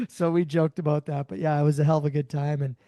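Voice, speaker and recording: A man with a raspy voice, co-hosting a podcast, rambling through casual chat. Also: fairly high pitch, flat delivery, quick pace, no echo, studio quality. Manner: rambling, warm storytelling. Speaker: podcast co-host.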